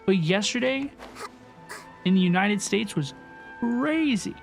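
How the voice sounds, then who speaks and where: A high-pitched male livestreamer chats casually while reacting to a game. He is informal and tends to repeat himself, with faint game music behind him. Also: lively intonation, slow, vocal fry. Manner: chatty, informal, repeats phrases. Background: video game music.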